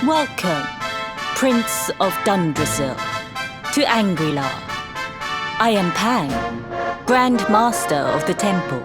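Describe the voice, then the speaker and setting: A woman's voice: medium pitch, very animated, takes it slowly, pauses often. A woman playing a dignified temple grand master in a fantasy video game, speaking in a formal, ceremonial manner. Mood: solemn welcome. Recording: loud music behind the voice.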